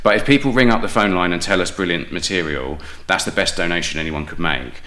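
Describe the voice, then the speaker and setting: A man's voice: deep voice, fast, bright, forceful tone. A middle-aged man, explaining things chattily as a guest in a casual interview. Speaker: guest, playwright and project founder.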